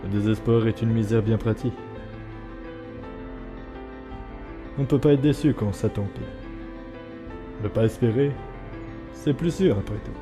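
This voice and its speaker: A man voicing a weary, dry, guarded skeleton in a comic dub, speaking in a laconic, solemn and measured way. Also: fairly low pitch, lightly acted. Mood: reflective. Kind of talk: fan comic dub acting. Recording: long hall reverb, music underneath.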